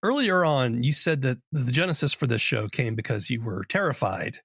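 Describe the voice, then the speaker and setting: Husky, lively man hosting an interview. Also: mid-range voice, moderate pace, no echo, studio quality. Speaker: podcast host, interviewer. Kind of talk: casual interview questioning.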